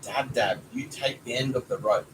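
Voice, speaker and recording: A man's voice: mid-range voice, moderate pace, a bit bright, distant mic, close to an everyday voice. A man voicing a bossy, instructive parrot from a children's storybook. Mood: commanding. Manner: commanding, instructive. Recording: noisy home recording with background sounds.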